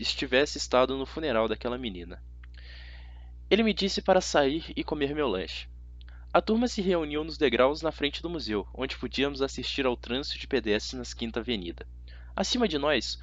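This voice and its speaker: A man with a Brazilian accent narrating a first-person story as an amateur audiobook reader, dramatic and expressive. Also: medium pitch, no echo. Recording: slightly dull, dry audio.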